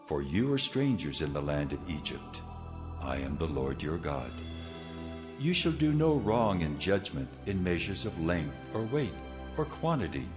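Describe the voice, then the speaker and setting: A deep, commanding God delivers laws in a dramatized scripture audio production. He is solemn and measured, with very low pitch, a touch of hall reverb and soft music beneath.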